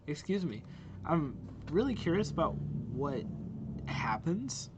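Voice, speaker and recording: A young adult man hosting a reaction video with casual, expressive, informal commentary. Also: fairly high pitch, slow pace, noisy background.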